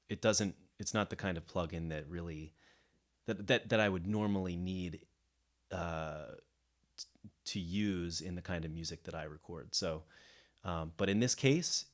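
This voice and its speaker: A man with a low voice talks through a music production tutorial. He explains things off the cuff, often restarting and rephrasing his phrases as he thinks aloud. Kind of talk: explanatory software tutorial, unscripted. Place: indoors, voice-over of a screen recording.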